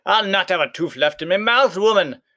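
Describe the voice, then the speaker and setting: A man's voice: sits high, lively intonation, normal speed, sharp tone, pitched above the performer's own voice, heavily acted. A man voicing a big, blustering, bellowing giant bully in a storybook read-aloud. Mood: outraged. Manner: bellowing, hollering.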